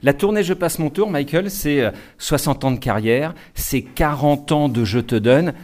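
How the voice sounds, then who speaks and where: A man's voice: on the high side, moderate pace, darkish tone. Chatty male interviewer. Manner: chatty, with stammered repeats and "euh". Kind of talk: interview questions.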